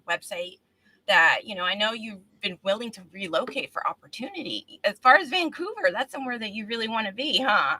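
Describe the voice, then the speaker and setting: A woman's voice: medium pitch, sharp tone. A woman hosting a casual live-streamed interview, speaking in an encouraging, affirming manner. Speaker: talk show host.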